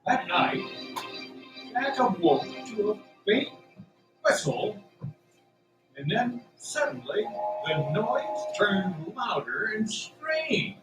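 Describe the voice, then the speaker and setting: A man narrating a radio drama with animated, suspenseful, scripted storytelling. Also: high-pitched, takes it slowly, pauses often. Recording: roomy, distant mic, music behind. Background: music.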